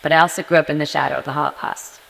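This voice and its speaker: A woman's voice: low-pitched, steady tone, rapid-fire, creaky, fairly bright tone. A woman from near New York City speaking on a panel, giving personal testimony in an earnest way and repeating phrases for emphasis. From northeastern United States, near New York City. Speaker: forum panelist. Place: conference hall. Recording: reverberant hall sound.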